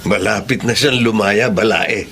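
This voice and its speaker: Older father-in-law, fairly low voice, calm, measured, radio drama. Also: vocal fry, background noise. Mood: calm, reassuring. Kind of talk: Filipino radio drama acting.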